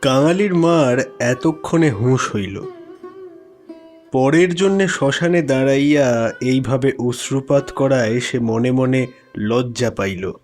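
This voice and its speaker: A man narrating a classic literary story in a measured, expressive, dramatic way. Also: medium pitch. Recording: music behind the voice.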